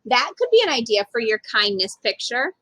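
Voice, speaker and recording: Woman doing a supportive storybook mom, bright and lively, encouraging. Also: fairly high pitch, moderate pace, no room sound, pitched below the performer's own voice. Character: supportive mother of a young girl.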